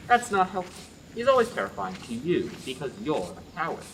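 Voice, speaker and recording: A man's voice: fairly high pitch, a little fast, recorded from a distance, slight background noise, poor audio. A young man playing a dry, sarcastic young prince in a student radio play. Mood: sardonic. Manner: deadpan, sarcastic.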